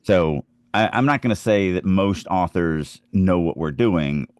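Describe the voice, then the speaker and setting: Male podcast co-host, deep voice, very dry sound, conversational talk about writing craft. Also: lively intonation, measured pace, darkish tone, dry recording. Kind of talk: casual discussion of writing craft.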